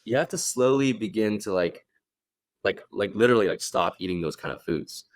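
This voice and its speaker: A man's voice: lowish voice, lively intonation, fairly quick, dry recording. A young adult man from Hong Kong, a fitness coach guest talking casually on a podcast and often checking in with "right?".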